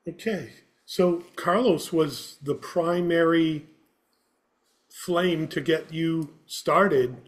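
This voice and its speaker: A man's voice: on the high side, very slow. A man hosting a podcast, asking short follow-up questions. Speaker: podcast host. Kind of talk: casual interview questions.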